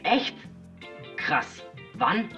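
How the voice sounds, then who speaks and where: A man's voice: sits high, sing-song, drawn-out words, pushed and bright, exaggerated voice. An actor playing a keen, naive young male graffiti sprayer on a phone line. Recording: dull phone call sound with music.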